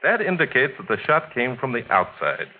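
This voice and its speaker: An actor playing a suave, amused amateur detective in 1940s radio mystery style, teasing and coolly in command. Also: male voice, medium pitch, wildly swooping pitch, brisk. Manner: teasing, chuckling, coolly authoritative. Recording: tinny, noisy 1940s radio recording.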